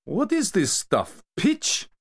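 A man voicing a sharp-tongued, sarcastic mafia enforcer who scolds his partner. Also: fairly high pitch, very animated, moderate pace, voice pushed up. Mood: scornful.

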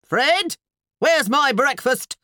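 Shrill, hollering, demanding wife. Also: female voice, animated, takes it slowly, bright, forceful tone, no echo, clean studio sound, exaggerated voice. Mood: bellowing, demanding. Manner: screeching, hollering, imperious. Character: loud, demanding, bad-tempered wife bellowing for waffles. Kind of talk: audiobook character voice.

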